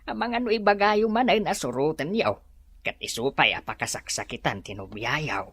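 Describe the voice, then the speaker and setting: A woman's voice: sits low, animated, moderate pace, breathy edge, full character voice. A woman playing a bumbling, wheedling resort employee in a comic Ilocano audio drama. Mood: nervous, sheepish.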